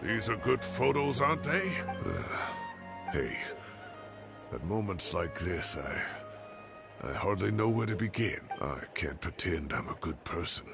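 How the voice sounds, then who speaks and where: Old mentor professor for a game: low, very animated, rambling, rueful and wistful. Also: male voice, measured pace, creaky, over music, heavily acted. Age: older. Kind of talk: video game voice acting.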